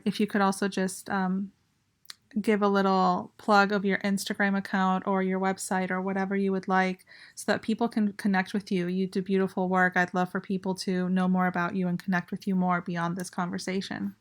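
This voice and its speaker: A woman hosting an online audio chat, measured and friendly, with fillers. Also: medium pitch. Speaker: host.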